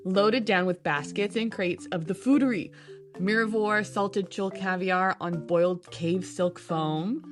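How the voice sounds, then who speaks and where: Woman as an earnest, mid-pitched young adventurer. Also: a little slow, soft background music, lightly acted. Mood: happy. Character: earnest, flustered young female circus adventurer. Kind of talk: audio drama character acting and narration.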